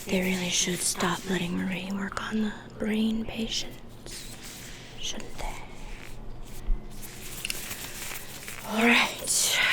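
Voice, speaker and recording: A woman playing a haughty, sinister dark fairy in an ASMR roleplay, whispering in a dismissive, sardonic way. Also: medium pitch, background noise, natural voice. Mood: condescending.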